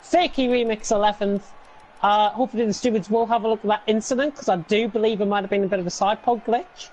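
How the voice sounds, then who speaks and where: A woman's voice: medium pitch, fairly even intonation, fast talker, a little mellow, dull audio. A woman guest commentator on a sim racing livestream, talking in a dramatic, exclaiming way with a voice she has nearly lost.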